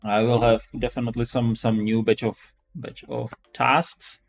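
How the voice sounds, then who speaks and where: Halting Czech-accented man, casual streamer. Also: medium pitch, level tone, gravelly. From Czech Republic. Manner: halting, repeats and restarts phrases. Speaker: live-stream host, web developer. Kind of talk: casual live-stream chat.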